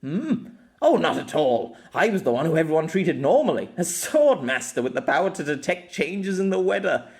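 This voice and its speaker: A wistful former swordsman as a male narrator plays him in a storybook reading. His pitch swings freely as he reminisces haltingly, with a rueful, self-deprecating manner. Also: very animated, darkish tone. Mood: amused, self-deprecating.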